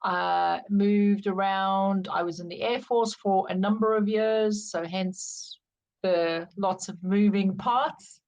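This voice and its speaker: A woman's voice: medium pitch, steady tone, slow pace, darkish tone. A middle-aged woman with a South African accent, candidly and reflectively telling her life story as an interview guest. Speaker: podcast guest.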